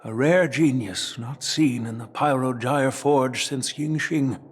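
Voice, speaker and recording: An actor playing an elderly master swordsmith and grandfather, telling a grave story in a measured voice in a game cutscene. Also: mid-range voice, slight background noise, close to an everyday voice. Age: older. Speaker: game character. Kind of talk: video game cutscene voice acting.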